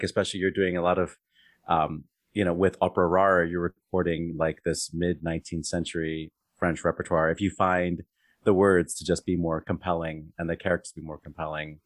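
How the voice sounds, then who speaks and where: A deep-voiced man hosts an interview show about opera. He asks long, winding questions padded with uh and um, in a dry, close sound. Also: flat delivery, moderate pace, dry recording. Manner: chatty, rambling questions with many fillers. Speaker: podcast host. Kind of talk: conversational interview.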